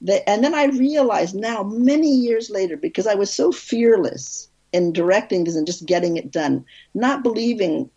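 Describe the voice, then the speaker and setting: A woman film director, an interview guest, telling animated stories in casual conversation with frequent restarts. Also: moderate pace, lo-fi sound. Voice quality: husky, low.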